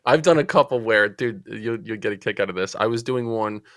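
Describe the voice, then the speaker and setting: A man in his forties with a New Jersey accent, telling stories as a podcast guest in a chatty, lively way. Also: fairly low pitch, brisk, dry recording, clean studio sound. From Central New Jersey. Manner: chatty, animated storyteller. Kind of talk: casual storytelling interview.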